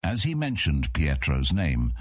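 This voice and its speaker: A man narrating a scripted fantasy audiobook in a measured storytelling manner. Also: very deep voice, huge pitch swings, no echo, studio quality.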